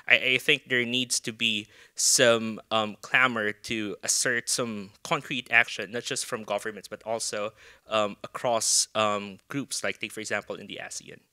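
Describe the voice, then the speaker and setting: A man's voice: fairly low pitch, fairly even intonation, measured pace, pushed and bright, clear, resonant tone, no echo, studio quality. A man speaking on a conference panel into a handheld microphone, hesitant with many ums. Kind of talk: panel discussion. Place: conference room with window blinds.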